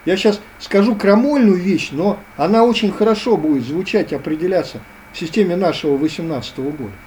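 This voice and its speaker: Man, earnest lecturing guest, warm relaxed tone, slight background hiss. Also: sits high, a little fast. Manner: earnest, lecturing, repetitive phrasing. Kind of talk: philosophical interview talk.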